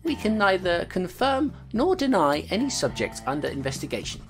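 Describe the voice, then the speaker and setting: A tough, wry constable in an audio drama, her voice low but swinging widely. She talks bluntly and guards what she says. Music plays behind her. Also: very animated, normal speed. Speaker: constable narrating her case. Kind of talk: audio drama narration and dialogue.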